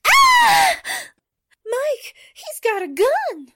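Wide-eyed radio drama sidekick, very high, bright, excitable, drawn-out exclamations. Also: female voice, slight background noise, full character voice. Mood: frightened. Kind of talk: 1940s radio drama acting.

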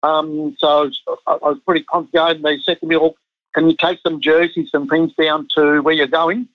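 A man's voice: on the high side, flat delivery, moderate pace, dry recording. A man in his fifties, born in Chile, who coaches a national team, talking casually in an interview with many ums and restarts. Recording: muffled lo-fi dry sound.

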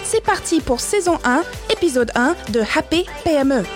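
A woman's voice: high-pitched, animated, normal speed, over loud music. A woman hosting a business podcast, speaking French as a non-native with an English-speaking accent, upbeat and chatty. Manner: upbeat, chatty, slightly scripted. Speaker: podcast host, business founder. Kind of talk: podcast talk and interview.

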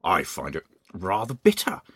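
A grouchy old storybook husband grumbles through a mouthful of food, sulky and fussy, as a man puts on the voice. The tone is bright with a husky edge, close and dry. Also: deep voice, wildly swooping pitch, moderate pace, no room sound, full character voice. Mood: complaining. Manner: grumbling, talks with his mouth full.

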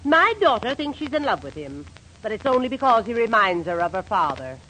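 Sharp, scornful landlady. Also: a little high, very animated, a little fast. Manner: sharp, emphatic, disapproving. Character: scornful, domineering middle-aged mother, boarding-house landlady. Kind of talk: 1940s radio comedy acting. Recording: faintly noisy 1940s radio recording.

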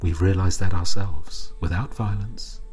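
Man playing a very quiet, weary, deep-voiced exile. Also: unhurried, faint music behind. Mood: solemn, resigned. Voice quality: very quiet, breathy near-whisper. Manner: sadly insistent, gently resigned. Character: weary, sad exiled shopkeeper, former London barman.

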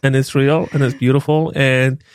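A man co-hosting a podcast with a soft, playful way of talking in casual chat. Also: medium pitch, level tone, normal speed, clear, resonant tone, no room sound, studio quality. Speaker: podcast co-host.

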